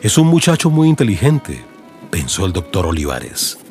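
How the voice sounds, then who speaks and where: A man's voice: sits low, huge pitch swings, normal speed, darkish tone. A man with a Colombian accent giving dramatic, scripted narration for an audiobook in radio soap style. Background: music.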